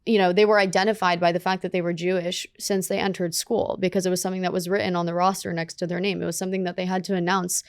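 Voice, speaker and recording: Earnest young woman, smooth dry voice. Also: on the low side, level tone, no echo. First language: Russian. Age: young adult. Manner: earnest, measured, deliberate. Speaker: guest, student leader. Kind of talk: conversational interview. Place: dark studio set with sofas. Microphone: studio mic on a boom arm.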